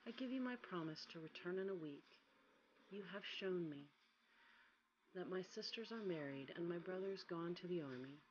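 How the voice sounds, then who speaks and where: Woman reading as soft, low-voiced sorrowful young Beauty. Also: animated, moderate pace, highs cut off, a little hiss or hum, close to an everyday voice. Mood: tender, pleading.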